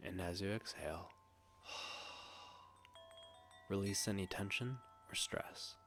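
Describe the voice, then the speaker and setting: A man with a low voice narrates a guided meditation. His delivery is gentle, hushed and soothing, with long pauses between short phrases. Faint noise and ambient chimes sit behind him.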